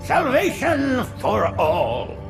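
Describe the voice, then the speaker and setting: An actor playing an aged, zealous alien prophet in a video game, preaching floridly in a thin, creaky voice. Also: male voice, very high-pitched, huge pitch swings, very slow, music in the background, heavily acted. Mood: rapturous. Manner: sermonizing, florid. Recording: film score behind voice.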